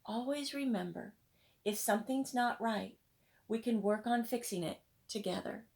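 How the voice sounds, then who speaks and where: A woman reading the lines of a kind, concerned papa in a children's storybook, gentle and reassuring. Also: fairly high pitch, moderate pace, gravelly. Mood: concerned, tender.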